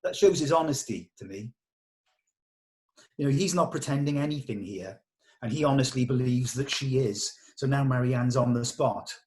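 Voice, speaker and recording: A man leads a relaxed script discussion at a table read. He explains things conversationally and hands out direction in an ordinary mid-pitched male voice. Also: moderate pace. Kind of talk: casual script discussion with actors.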